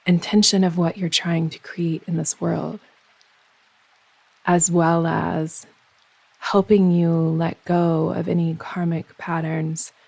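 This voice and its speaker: A woman guiding a yoga nidra meditation in slow, soothing instruction, with measured, unhurried phrasing and pauses. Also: fairly low pitch, creaky, darkish tone, breathy edge, dry recording, low-quality recording.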